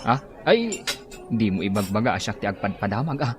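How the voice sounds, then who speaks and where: A man's voice: lowish voice, sing-song, brisk, a crowd in the background. A man acting a lovesick, insecure younger Filipino man with an Ilocano accent in a comedy radio drama. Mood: anxious, pleading.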